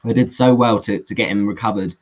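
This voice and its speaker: Low-voiced male football fan, muffled line. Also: quick pace. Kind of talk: casual football discussion. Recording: muffled lo-fi online call.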